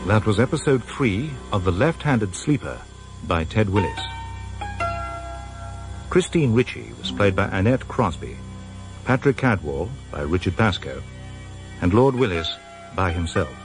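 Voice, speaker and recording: Low-pitched male radio announcer over music. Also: sing-song, unhurried, long pauses. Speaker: continuity announcer reading credits. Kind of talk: formal scripted announcement. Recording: vintage radio recording with music.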